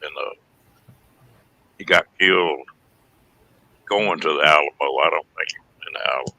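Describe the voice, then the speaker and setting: A man's voice: extremely low pitch, moderate pace, gravelly, sharp tone, dry recording, low-quality recording. A middle-aged man with a Texan accent, telling stories in a folksy way in a casual group chat. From Texas, United States.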